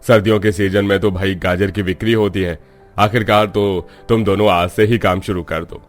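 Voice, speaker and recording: A man voicing a gruff, dismissive village man in a cartoon story. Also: low voice, fairly even intonation, moderate pace, faint music behind, lightly acted. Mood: commanding. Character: stingy landlord and envious farmer in village. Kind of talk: Hindi cartoon dialogue.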